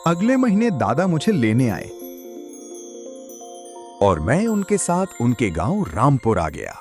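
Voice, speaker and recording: Man as earnest young grandson in a horror audio story, lively, slightly high, faint music behind. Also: slow, full character voice. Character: eager, pleading young village grandson. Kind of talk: first-person story narration and dialogue.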